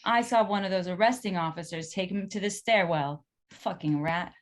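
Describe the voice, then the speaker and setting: A woman's voice: on the low side, normal speed, close to an everyday voice. A woman playing a brash, flirtatious, foul-mouthed sex worker in a screenplay table read, sassy and slangy. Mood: defiant, scornful.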